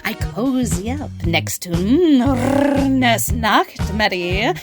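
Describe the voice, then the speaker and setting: Woman as old-lady schemer, unhurried, animated, highish, comedy drama, music behind. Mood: scheming. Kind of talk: comic audio drama acting.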